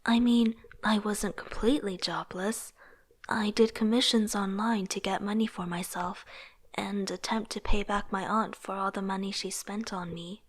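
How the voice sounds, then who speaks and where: A young woman playing an earnest, struggling artist in a motion comic, narrating introspectively in a soft, breathy voice. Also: medium pitch, steady tone, normal speed, natural voice. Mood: wry, determined. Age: young adult. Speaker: narrating lead character. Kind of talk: scripted first-person narration.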